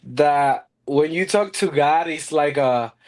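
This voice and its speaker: A man co-hosts a casual chat in a notably high voice. He talks playfully and animatedly, with frequent laughter. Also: slow pace.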